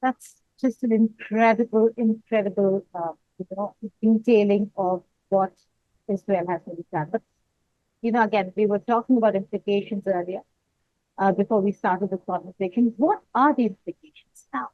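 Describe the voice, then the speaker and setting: A woman anchoring a news interview, putting long, deliberate questions to a guest with emphasis on key words. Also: mid-range voice, dry recording, highs cut off.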